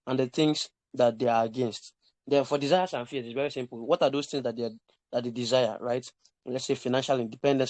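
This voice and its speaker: A man's voice: medium pitch, darkish tone, dry recording. Male sales coach, emphatic and repetitive, dry close sound. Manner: repetitive, emphatic, checks in with listeners. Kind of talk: live online class teaching. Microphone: online call.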